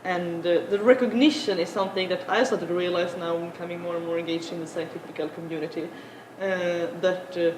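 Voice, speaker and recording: Young woman giving a halting panel talk. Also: lowish voice, fairly even intonation, darkish tone. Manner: halting, many filler sounds. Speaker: panel speaker. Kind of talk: conference talk. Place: large conference room. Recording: echoey conference room, noisy.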